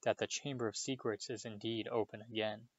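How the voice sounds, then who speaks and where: Guy reading a quiet grave old wizard headmaster, dry slightly muffled audio. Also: lowish voice, level tone, fairly quick, dry recording, lightly acted. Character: calm elderly male headmaster wizard, grave. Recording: slightly dull dry recording.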